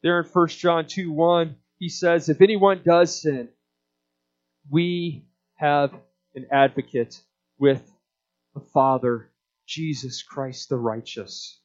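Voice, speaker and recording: A man preaching a sermon in an emphatic, deliberate expository manner, repeating key points, on a dull band-limited recording. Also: a little high, fairly even intonation, a little mellow.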